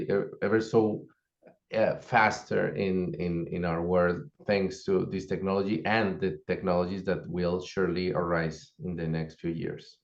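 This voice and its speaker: A man with a fairly low voice walks through a course outline, listing one item after another at an even, explanatory pace. He is on a video call, and his voice sounds dull and lo-fi. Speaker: course instructor.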